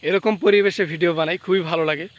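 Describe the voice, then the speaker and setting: A man's voice: high voice, fairly even intonation, quick pace, dry recording, muffled recording. A man hosting his channel, giving a casual outro to viewers. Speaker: channel host.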